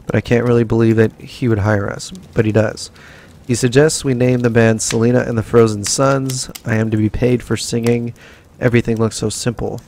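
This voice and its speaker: A let's play host talks in a mid-pitched, gravelly male voice. He reads in-game notes out slowly and evenly. He breaks it up with brief casual remarks over faint background noise. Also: a little mellow. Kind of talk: casual gameplay commentary and reading notes aloud.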